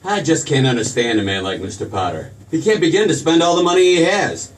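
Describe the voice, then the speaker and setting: A man's voice: a little high, lively intonation, brisk, natural voice. An actor playing a restless, eager young man who stammers and repeats himself, in 1940s radio drama style. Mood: eager, affectionate. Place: theater stage. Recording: distant stage pickup with faint noise.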